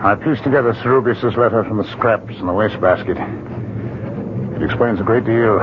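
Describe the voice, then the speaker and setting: A man's voice: medium pitch, close to an everyday voice. An actor playing a grave, protective husband and father, earnest and dramatic, in a 1970s radio drama. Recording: hissy, muffled old radio broadcast.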